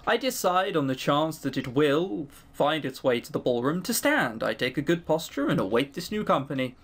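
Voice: mid-range voice, sing-song, normal speed, breathy edge. A let's player reading a visual novel aloud, with theatrical delivery and teasing, exclaiming asides. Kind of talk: game narration with casual commentary.

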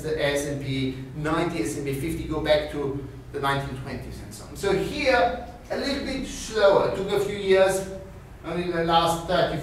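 A middle-aged man lectures on finance, his voice a little raised in pitch and lively with gestures. He is picked up by a distant camera in a small, very echoey classroom. A faint hiss sits under the sound. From Austria. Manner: animated, gestures widely, peppers talk with "okay?". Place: small classroom with screen and whiteboard. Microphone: far camera.

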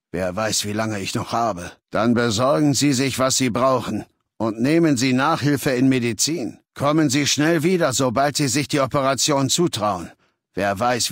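Guy voicing a cold arrogant warlord for a video game, measured and bossy, real dry close sound. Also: mid-range voice, dry recording, natural voice. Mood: stern, impatient. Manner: measured, authoritative, condescending. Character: commanding, arrogant male warlord, cold and imperious, ailing. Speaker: voice actor in a video game dub. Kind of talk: acted video game dialogue.

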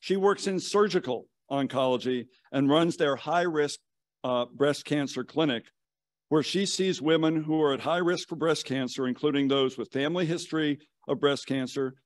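An older man in his sixties delivers a formal welcome speech from a podium. His voice sits a little high for a man and is recorded fairly dry. He reads in a measured, official manner. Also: no room sound. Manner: measured, formal, reading from notes. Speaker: medical college dean giving welcome remarks. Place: conference hall stage at a podium, indoors. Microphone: podium gooseneck microphone.

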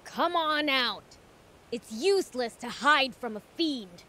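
Small boy demon prince, high-pitched, animated, brash, boastful, video game acting, music behind. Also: takes it slowly, heavily acted. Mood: cocky. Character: small cocky young male demon prince.

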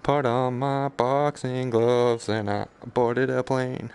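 A man's voice: medium pitch, flat delivery, slow, a little mellow. A man chatting casually on a live game stream, joking in a slangy, sweary way. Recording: clear background noise.

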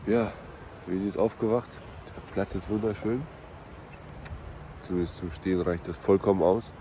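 A man's voice: deep voice, fairly even intonation, moderate pace. A man in his thirties chatting casually through a family travel vlog, with frequent tag questions. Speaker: vlogger. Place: inside a camper van and outdoors by it. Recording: road and vehicle noise.

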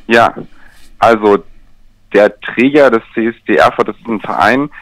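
Low-voiced man in a radio interview. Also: a little slow, a bit bright, dry recording, poor audio. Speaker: interview guest, LGBT pride event organizer. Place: remote, by telephone.

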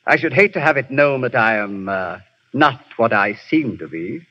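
An actor in a 1930s radio play voicing a suave, sinister male professor who runs a spy ring, with a smooth, menacing manner. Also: mid-range voice, expressive, normal speed, bright, forceful tone. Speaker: villain. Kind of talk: 1930s radio drama acting. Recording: dull 1930s radio broadcast.

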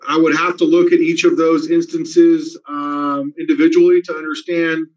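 A school district administrator giving a town hall presentation over a video call, speaking steadily with frequent "um" fillers. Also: male voice, on the high side, flat delivery, normal speed, darkish tone. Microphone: video call webcam. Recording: dull lo-fi video call audio.

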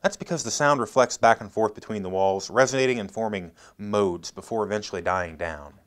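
A man's voice: fairly low pitch, a little fast. An American man in his thirties presenting a scripted product demonstration.

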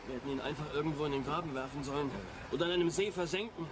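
A man plays a hot-headed accomplice in a radio crime play. His voice is high and whiny, edged with panic, with clear outdoor noise behind it. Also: racing, close to an everyday voice. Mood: panicky, defiant. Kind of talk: radio drama dialogue.

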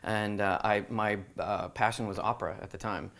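A man's voice: lowish voice, steady tone, normal speed, gravelly, fairly bright tone. A man in his thirties from San Diego, chatting as a guest in a small studio, hesitant with fillers and frequent laughs. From San Diego, USA. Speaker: guest singer. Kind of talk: casual interview chat.

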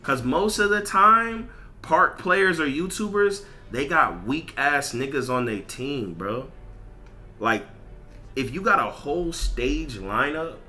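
A man's voice: medium pitch, sharp tone. A man ranting casually as a gaming streamer, blunt, emphatic and repetitive, with lots of slang and swearing. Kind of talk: casual livestream rant over gameplay.

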